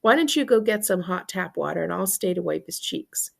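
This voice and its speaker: A woman's voice: lowish voice, expressive, brisk, gravelly, heavily acted. A woman voicing an easily amused father in his thirties, teasing and jovial, in a storybook. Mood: bemused. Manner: jovial, mocking, drawn to jokes.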